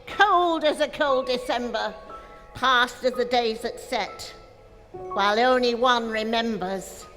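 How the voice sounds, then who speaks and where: A sly evil witch of the pantomime stage. She speaks very slowly and theatrically, coaxing with sinister intent. Faint music sits behind her. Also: a little high, fairly bright tone. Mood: ominous, solemn. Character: sly evil fairy witch luring a princess.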